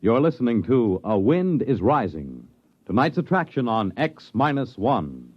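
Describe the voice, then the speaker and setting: A male announcer with a very lively, swooping pitch and measured, dramatic phrasing. The sound is a slightly dull vintage radio recording. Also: slight background noise.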